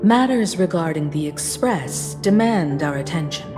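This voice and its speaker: A woman's voice: fairly low pitch, lively intonation, unhurried, music in the background. A woman playing a courteous, businesslike envoy in a video game, speaking formally and politely. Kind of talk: scripted game voice acting.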